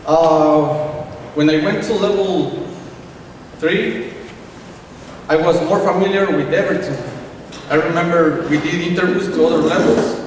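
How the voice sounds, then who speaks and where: A young man speaks a little high for a man, earnest and careful. He sounds far from the mic in a very echoey room, with clear ambience behind him. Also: slight background noise. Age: young adult. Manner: careful, earnest, with some restarts. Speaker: English language student giving a speech. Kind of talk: prepared speech. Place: small classroom indoors, at night. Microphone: room microphone at a distance. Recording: echoey room, picked up from afar.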